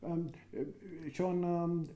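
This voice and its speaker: A man's voice: fairly high pitch, steady tone, slow, dark tone. A man interviewing casually and hesitantly, stumbling over the starts of his words, in a recording studio.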